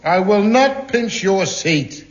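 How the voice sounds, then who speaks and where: A sly, mocking mate needles his friend with wisecracks. His pitch swings a lot, and the sound is dull and lo-fi like an old broadcast. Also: animated, takes it slowly. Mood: nagging. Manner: teasing, wisecracking. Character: sly, mocking working-class London mate. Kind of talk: 1950s radio sitcom acting.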